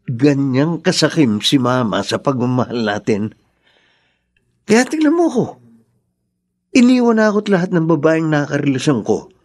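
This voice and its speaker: A man's voice: on the high side, big pitch swings, moderate pace, long pauses, darkish tone. A man acting in a Filipino radio drama as a cynical, resigned older brother. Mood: resigned, bitter.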